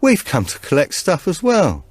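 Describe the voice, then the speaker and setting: Male narrator as curt menacing crook, audiobook thriller character, raised pitch, probing. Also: huge pitch swings, moderate pace, husky, no echo, heavily acted. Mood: falsely friendly.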